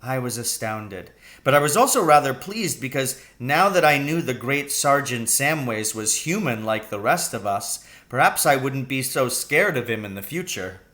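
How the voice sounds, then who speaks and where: Bright, animated man reading a storybook. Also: mid-range voice, normal speed, hardly any pauses. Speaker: audiobook reader. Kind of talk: expressive storybook narration.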